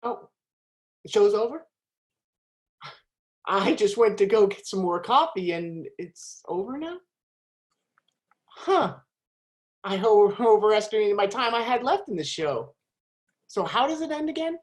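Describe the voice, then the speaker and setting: A woman's voice: medium pitch, sing-song, unhurried, pauses often. A woman playing a casual, nonchalant theatergoer making comic asides in a stage play. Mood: bemused.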